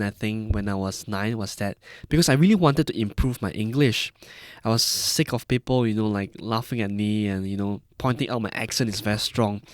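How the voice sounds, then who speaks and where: A man's voice: on the low side, no room sound, clean studio sound. A man in his early twenties with a Malaysian-Singaporean accent, telling personal stories as a podcast guest. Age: early 20s. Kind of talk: personal storytelling in conversation.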